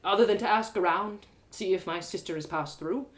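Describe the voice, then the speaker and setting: A woman voicing a persistent young male traveler who talks in a dry, calm, needling way, in a live reading of her audio drama. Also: medium pitch, fairly quick, close to an everyday voice.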